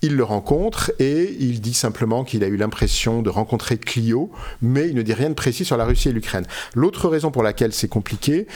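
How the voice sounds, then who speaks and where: A man talks as a literary guest in an interview, at a medium pitch. His explanations are learned and detailed, halting often as he searches for a word and corrects himself. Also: a little mellow. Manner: erudite, halting explanations with frequent self-corrections. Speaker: interviewed author and literary expert. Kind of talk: unscripted scholarly interview.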